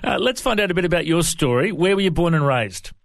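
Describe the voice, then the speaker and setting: A man's voice: on the high side, expressive, quick pace, vocal fry, fairly bright tone, dry recording. A man hosting a religious interview show, asking short friendly questions. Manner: friendly, brief prompts. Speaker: interview host.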